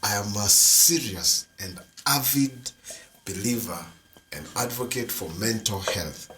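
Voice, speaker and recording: A man co-hosting a casual podcast. He tells stories in an animated way, laughs often and mixes Swahili into his English. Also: lowish voice, takes it slowly, slight background noise, rough recording. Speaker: podcast co-host. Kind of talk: casual chat.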